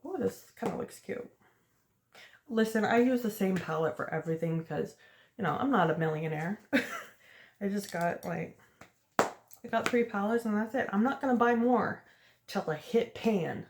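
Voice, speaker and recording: A woman in her late twenties talks on and on in a loose, chatty ramble, laughing between thoughts. Her voice sits at an ordinary pitch, and the room around her rings with echo. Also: lively intonation, moderate pace, pauses often, slight background noise. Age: late 20s. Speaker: vlogger. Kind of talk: casual rambling get-ready-with-me chat. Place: at home doing makeup.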